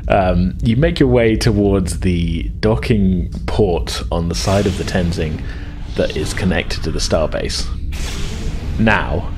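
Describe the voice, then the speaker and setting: A man's voice: low-pitched, slow, over music. A man narrating a tabletop game as game master, improvising in a casual, hesitant way.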